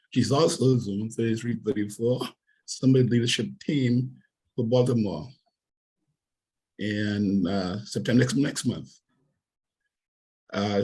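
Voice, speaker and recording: Hoarse low man, losing his voice. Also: darkish tone, poor audio. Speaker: introducer of guest speaker. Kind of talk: formal introduction.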